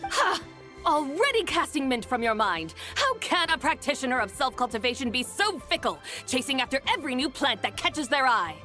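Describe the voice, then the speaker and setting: A woman's voice: high-pitched, very animated, moderate pace, sharp tone, soft background music, exaggerated voice. A woman voicing an imperious, eccentric immortal lady in a video game, speaking in a haughty, formal, theatrical way. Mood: haughty, curious. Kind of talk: English video game voice acting.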